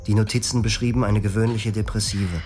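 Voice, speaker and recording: A man's voice: fairly low pitch, flat delivery, fairly quick, a little mellow, soft background music, natural voice. An actor playing a husband who narrates his own story in first person in a radio drama. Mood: brooding.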